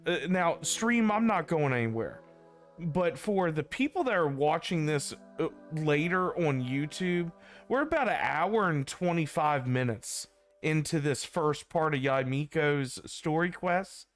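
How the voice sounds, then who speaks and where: A man chatting casually on a game livestream, rambling and repeating himself as he talks to his viewers. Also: fairly high pitch. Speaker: game streamer. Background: faint game music.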